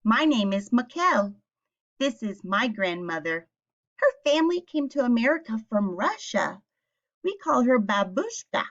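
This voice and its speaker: Lively, higher-voiced woman reading to children. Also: measured pace, highs cut off. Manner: addresses young children with simple questions and clear explanations. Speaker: teacher reading a picture book aloud. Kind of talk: children's read-aloud.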